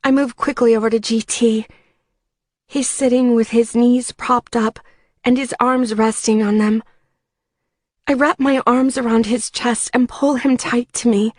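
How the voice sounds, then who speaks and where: A woman reading an audiobook as dramatic first-person narration, in a measured, emotive way. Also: fairly high pitch, steady tone, a little mellow, no echo, clean studio sound.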